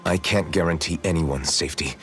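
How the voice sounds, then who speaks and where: Brooding hero for anime game dub; deep, halting, self-doubting delivery over soft music. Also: male voice. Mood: guilt-ridden.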